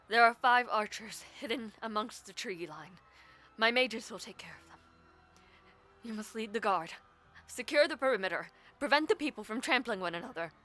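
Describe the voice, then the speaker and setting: A woman playing a proud, powerful mage villainess in an audio drama, speaking in a formal, imperious and deliberate manner. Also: a little high, sharp tone. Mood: commanding. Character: proud powerful female mage, commanding, vengeful, self-sacrificing villainess. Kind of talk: dramatic audio roleplay acting.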